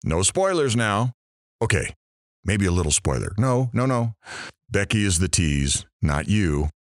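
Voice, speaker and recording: An actor playing a flippant, nosy radio talk show host with a theatrical, teasing delivery. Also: male voice, lowish voice, big pitch swings, measured pace, dry recording, clean studio sound. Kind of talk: radio host patter in an audio drama.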